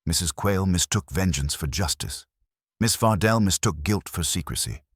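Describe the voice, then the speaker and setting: A man's voice: low-pitched, lively intonation, normal speed, breathy edge, no echo, studio quality. A man voicing an imperious, blunt male detective who speaks in pompous, formal pronouncements in a mystery audiobook. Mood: solemn. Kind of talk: mystery audiobook character reading.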